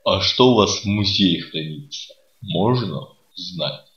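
Male nihilist radio guest, acted: dour, unhurried, dry sarcasm for comedy, muffled sound. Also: sits low, heavily acted. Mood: deadpan. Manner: unhurried, sardonic, deadpan. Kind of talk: comedy radio sketch acting. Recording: roomy, dull lo-fi sound.

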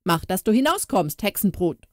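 A woman voicing a vain, gruff male cook in a fairy tale, scolding and indignant. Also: medium pitch, very animated, normal speed, darkish tone, no echo, studio quality, close to an everyday voice. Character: gruff vain royal cook, middle-aged man.

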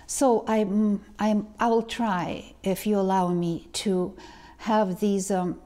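A woman, talking slowly to camera as a tarot reader, pausing and restarting often. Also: medium pitch, fairly even intonation, warm tone. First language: Ukrainian or Russian. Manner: slow, halting, many restarts and ums. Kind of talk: casual vlog talk to camera. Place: living room indoors. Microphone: lapel mic.

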